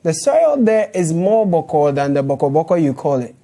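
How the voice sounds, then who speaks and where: A man's voice: fairly high pitch, big pitch swings, moderate pace, warm tone. A young man with a Ghanaian accent hosting a satirical news show from a studio, playful and sarcastic. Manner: playful, sarcastic, laughs while talking.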